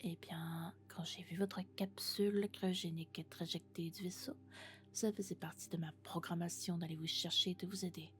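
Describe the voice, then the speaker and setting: A woman playing a friendly, doting female robot in an ASMR roleplay, speaking gently and often in whispers. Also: on the low side, steady tone, moderate pace, slight background noise, lightly acted. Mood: tender, reassuring. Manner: gentle, reassuring, alternating soft speech and whispers. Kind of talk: French ASMR roleplay, soft-spoken and whispered.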